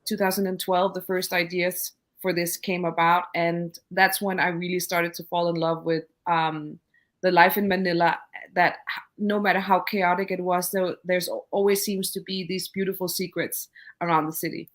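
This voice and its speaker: A woman's voice: lowish voice, level tone, normal speed. Hesitant woman from Denmark, telling her story. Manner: thoughtful, hesitant with many fillers and restarts. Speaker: guest entrepreneur. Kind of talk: casual interview conversation.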